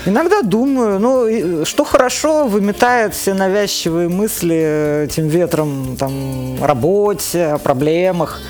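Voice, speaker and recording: A woman's voice: lowish voice, lively intonation, unhurried, few pauses, a little mellow. Woman being interviewed, talking conversationally, faint music behind her. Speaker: interview guest, solo motorcyclist and climber.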